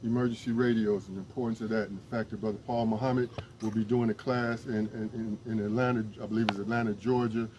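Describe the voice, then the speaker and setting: A man's voice: fairly low pitch, little pitch movement, fast, few pauses, highs cut off, background noise. A man, an emergency management instructor, making an earnest, rambling, pleading appeal outdoors, with many restarts. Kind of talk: casual direct-to-camera appeal.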